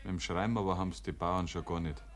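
A man's voice: deep voice, fairly even intonation, quick pace. A man narrating a 1950s dialect radio play as a folksy rural storyteller. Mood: measured. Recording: noisy 1950s radio recording.

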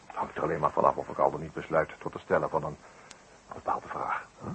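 An actor playing a wry, wordy detective with dry irony in a 1960s radio drama. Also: male voice, rumbling low, level tone, fast talker, a bit bright. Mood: wry, quiet. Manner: dryly ironic, wordy. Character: wry, unhurried detective helping the local police. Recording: old radio recording with hiss.

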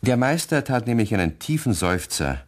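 Ein Mann erzählt als Sprecher eines Kinderhörspiels eine vorbereitete Geschichte. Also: male voice, mid-range voice, very animated, moderate pace. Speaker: narrator. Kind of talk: scripted storytelling narration for a children's radio play.

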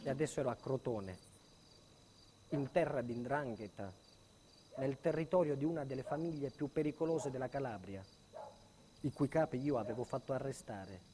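An actor playing a frightened, indignant protected witness in an intense theatrical monologue that moves between hushed and agitated. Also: male voice, medium pitch, expressive, normal speed, long pauses, slight background noise, close to an everyday voice. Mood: hushed, brooding. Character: frightened, indignant male protected witness recounting his ordeal.

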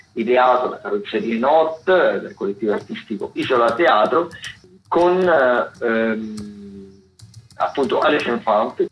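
A muffled radio recording with faint noise carries a man presenting arts listings. His voice sits at a medium pitch. He speaks semi-improvised, pausing to find words and often repeating a phrase before going on. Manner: hesitant, searching for words with repetitions. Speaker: radio host presenting a theatre events roundup. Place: radio studio.

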